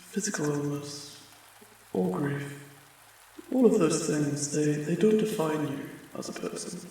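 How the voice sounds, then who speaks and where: Guy doing a soft friendly demon, bit high for a man, halting n reassuring, super echoey asmr roleplay w rain. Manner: gentle, halting, reassuring, conversational. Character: friendly, apologetic male sleep paralysis demon. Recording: very echoey, distant, rain sounds behind.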